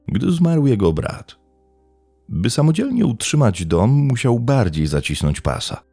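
A man reading scripted true crime narration in a measured, dramatic storytelling manner. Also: low-pitched, creaky, mellow, dry recording. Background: faint background music.

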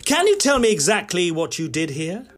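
An actor playing an inquisitive Edwardian gentleman ghost hunter, narrating and speaking in a formal British accent. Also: high-pitched, huge pitch swings, moderate pace, soft background music. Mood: measured, inquiring. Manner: genteel, formal. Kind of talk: dramatised storytelling.

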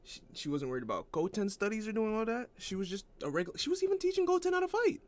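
A man's voice: high-pitched, very animated, quick pace. A man giving casual opinion commentary as an online anime fan, in an argumentative, exasperated manner.

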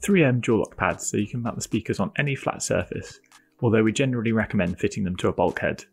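A man with a lowish, creaky voice reads scripted product narration. He is measured and explanatory, with light music playing behind him.

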